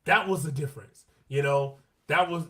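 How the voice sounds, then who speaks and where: Lively, higher-voiced male podcast co-host. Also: normal speed. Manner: animated, quotes players in their own voices; slangy, repeats points for emphasis. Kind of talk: casual sports chat.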